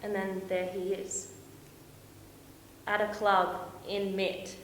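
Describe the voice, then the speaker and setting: A woman performing a stage monologue as a young, romantic, homesick backpacker, confiding and expressive with dramatic pauses. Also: mid-range voice, close to an everyday voice. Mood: excited, smitten. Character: young Australian woman backpacker, dreamy, lovestruck, heartbroken, homesick. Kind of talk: theatrical stage monologue, storytelling to an audience. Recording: echoey room with faint noise.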